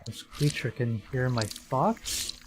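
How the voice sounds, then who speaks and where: A man's voice: mid-range voice, slow, very noisy. A man from the Philippines streaming a game, reading narration aloud in a dramatic storytelling way and reacting to it.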